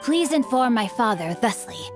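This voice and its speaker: A woman's voice: a little high, very animated, moderate pace, music in the background. A woman voicing a refined teenage young lord in a video game, chivalrous and sly, with formal, florid speech. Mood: sly, self-satisfied. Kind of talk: English video game voice acting.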